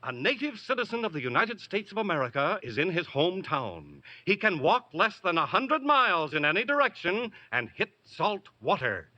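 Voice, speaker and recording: Excitable, high-pitched, bright radio quizmaster. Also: male voice, moderate pace, hardly any pauses, highs cut off, heavily acted. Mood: showy build-up. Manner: gushing, theatrical showman. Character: excitable, overbearing male radio quiz show host. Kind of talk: 1940s radio comedy acting. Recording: noisy old radio broadcast recording.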